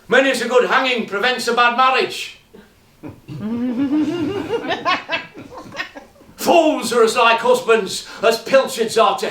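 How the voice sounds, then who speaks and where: An actor playing a brash, flirty pantomime dame who is an ugly sister, teasing the audience in a stage comedy. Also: male voice, high-pitched, a bit bright, full character voice. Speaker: stage performer. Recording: roomy and distant.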